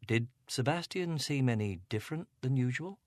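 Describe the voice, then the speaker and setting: A man playing a careful, gentle detective. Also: medium pitch, lively intonation, dry recording, clean studio sound, voice pushed up, lightly acted. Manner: slow, careful, soothing.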